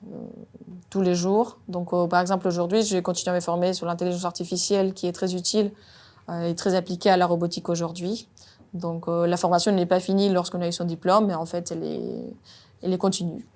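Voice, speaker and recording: A woman, speaking French as a robotics engineer and pausing often on "euh". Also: on the low side, darkish tone. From Colombia. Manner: measured, frequent "euh" hesitations. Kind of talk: job interview-style monologue.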